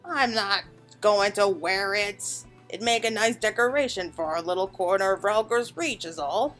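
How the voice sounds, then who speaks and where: A woman's voice: medium pitch, unhurried, fairly bright tone, soft background music, lightly acted. A woman voicing friendly, wry engineers from a fantasy game in a light conversational read-aloud.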